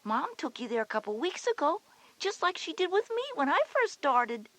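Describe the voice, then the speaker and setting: A woman's voice: high-pitched, very animated, moderate pace, fairly bright tone, no echo. A woman playing a confident schoolgirl big sister who explains things reassuringly in a scripted children's audio drama. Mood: matter-of-fact explaining.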